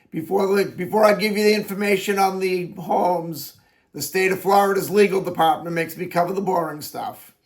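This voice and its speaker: A man working as a real estate agent, talking through his daily listing video in a plain, conversational way, his voice raspy from allergies. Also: sits high. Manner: plain-spoken, conversational, explains at length. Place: Florida Keys.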